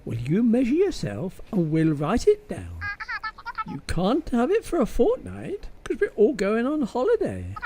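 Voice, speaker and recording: A woman's voice: medium pitch, noisy background. Woman playing camp swooping menswear salesman. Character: camp, fussy menswear salesman, flirty and chatty. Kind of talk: amateur radio comedy acting.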